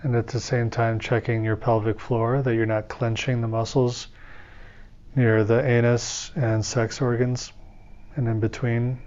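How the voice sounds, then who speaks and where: Male meditation teacher, calm and instructive, level monotone delivery, muffled lo-fi sound. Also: mid-range voice. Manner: slow, soothing, gently instructive. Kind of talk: guided meditation.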